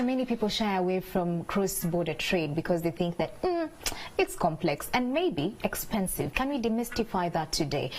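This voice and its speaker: A woman hosting an interview, prompting her guest with questions and quick affirmations. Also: fairly low pitch, a little hiss or hum. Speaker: interview host. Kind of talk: studio interview.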